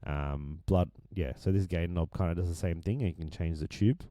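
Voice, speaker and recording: A man with a deep voice that is going hoarse, casually walking through his vocal mix with many ums and restarts. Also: normal speed, warm tone, no echo. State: losing his voice. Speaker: music producer explaining his mix. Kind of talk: casual screen-share tutorial.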